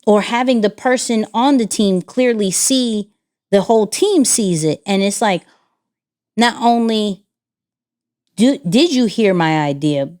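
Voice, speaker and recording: A young adult woman co-hosting a podcast in casual chat, animated, with her voice jumping high when she gets excited. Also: measured pace. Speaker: podcast co-host.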